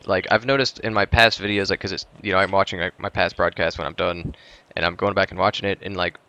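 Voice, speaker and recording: A man's voice: low voice, steady tone, fast talker, pushed and bright, clean tone, no room sound, dull audio. A young man with a scratchy voice, chatting hesitantly with lots of fillers on a live stream. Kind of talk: casual live-stream chat.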